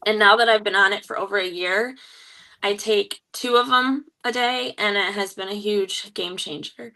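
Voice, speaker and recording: A woman in her forties with a Michigan accent, hoarse from a cold, openly telling her story as a guest with many pauses. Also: medium pitch, sharp tone, poor audio. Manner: open, halting with many ums. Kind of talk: casual testimonial.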